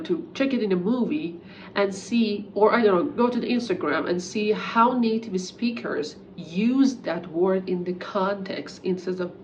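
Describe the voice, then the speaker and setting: A woman's voice: mid-range voice, highs cut off, a little hiss or hum. A young woman whose first language is Persian gives an earnest, encouraging English lesson to camera, with casual fillers. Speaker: English teacher. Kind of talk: talking-head English lesson.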